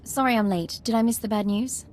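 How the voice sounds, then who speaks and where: An actress playing a brisk, dryly wry female military squad leader in a video game. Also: on the high side, a little mellow, slight background noise, close to an everyday voice.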